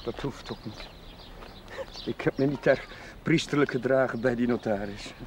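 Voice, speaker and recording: An actor playing a kind, earnest village priest in a 1970s Dutch television drama, gentle and hesitant in manner. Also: male voice, mid-range voice, normal speed, slight background noise, natural voice. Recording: 1970s TV soundtrack, music underneath.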